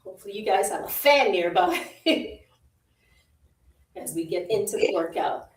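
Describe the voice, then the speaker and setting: A woman's voice: medium pitch, expressive, moderate pace. A woman with a Jamaican accent leading a live workout, giving short, encouraging cues over and over and laughing easily. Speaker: fitness instructor. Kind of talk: live virtual workout coaching. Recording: roomy, distant from the mic.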